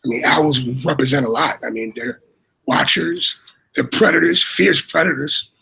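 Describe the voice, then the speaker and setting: Casual co-host, man, husky and gravelly, rambling delivery. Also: a little high, moderate pace, bright, forceful tone, rough recording. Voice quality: raspy voice. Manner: rambling, repeats words, many fillers. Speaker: co-host and moderator. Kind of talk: casual livestream chat.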